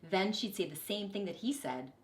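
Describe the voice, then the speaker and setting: A woman reading a children's story aloud expressively, with deliberate, dramatic emphasis. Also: medium pitch, expressive, reverberant, poor audio. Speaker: storybook reader, teacher.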